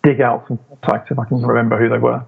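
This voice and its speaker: Male interview guest, deep vocal fry, casual delivery, narrow telephone-style sound. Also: little pitch movement, fast. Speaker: interview guest, audio drama writer. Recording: tinny phone-like remote call, faint music.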